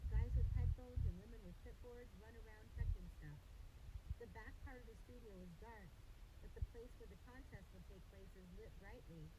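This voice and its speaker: A woman's voice: a little high, brisk, highs cut off, heavy background noise. Woman reading a children's novel aloud. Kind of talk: audiobook-style narration of a children's novel.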